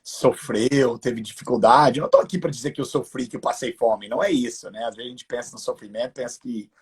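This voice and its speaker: An American man who learned the language as a teenager, chatting relaxed in a live interview and often adding "né". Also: medium pitch, brisk, husky. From United States. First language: English. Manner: relaxed, laughing, tag questions with "né". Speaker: guest entrepreneur.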